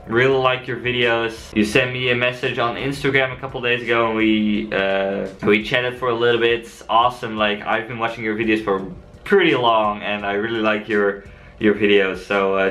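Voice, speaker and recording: Chatty young Dutch man with a bright voice. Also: lowish voice. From Netherlands. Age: young adult. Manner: relaxed and chatty, frequent fillers. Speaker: cycle-touring vlogger. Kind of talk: casual vlog Q&A.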